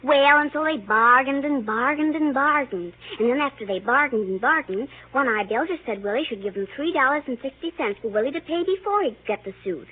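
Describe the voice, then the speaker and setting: A little girl with a high, very animated voice. She chatters and tattles eagerly, piling up run-on sentences with childish importance. It is old radio drama, tinny and narrow. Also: a little fast, hardly any pauses, breathy edge. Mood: important, insistent. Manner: breathless run-on storytelling, childishly insistent. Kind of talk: 1930s radio drama acting. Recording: tinny, hissy old 1930s radio recording.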